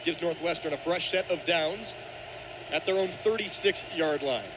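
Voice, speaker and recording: High-pitched young man calling football, noisy stadium. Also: moderate pace. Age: young adult. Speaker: play-by-play sports commentator. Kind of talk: live football radio play-by-play. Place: outdoor football stadium broadcast. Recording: stadium broadcast with loud crowd-like ambient noise.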